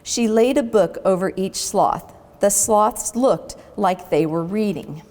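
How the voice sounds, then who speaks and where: Woman reading a storybook, long reverb. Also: medium pitch. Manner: expressive, dramatic pauses between phrases. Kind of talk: children's storybook read-aloud.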